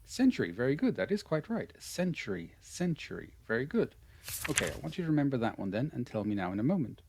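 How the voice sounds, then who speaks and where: A man's voice: mid-range voice, very animated, fast talker, noisy background. A man with an Irish accent teaching English pronunciation in an online lesson. He talks patiently and encouragingly, repeating his praise often. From Ireland.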